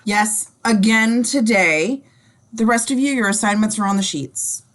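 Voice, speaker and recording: A woman playing a blunt, weary male police chief in a table read, with halting delivery. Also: mid-range voice, fairly bright tone, close to an everyday voice. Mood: businesslike, weary. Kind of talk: screenplay table read acting.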